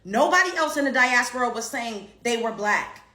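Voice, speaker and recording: A woman's voice: fairly high pitch, animated, normal speed, bright, forceful tone. A woman, ranting bluntly and profanely as an online commentator and comedian. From Brooklyn, New York. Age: 30s. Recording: roomy echo.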